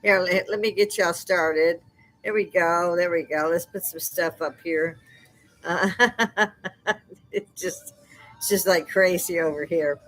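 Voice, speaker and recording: An older woman hosting a casual live stream. She has a loud speaking voice and talks in a loose, chatty way, laughing often. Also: fairly low pitch, takes it slowly, low-quality recording. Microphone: desk microphone.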